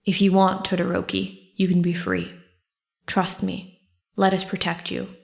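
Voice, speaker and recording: A woman's voice: on the low side, a little slow, gravelly, no echo, highs cut off, heavily acted. Woman as a restrained, protective male teacher. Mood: firm, persuasive. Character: gruff male teacher, guarded, determined, protective of students. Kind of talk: audiobook character voice.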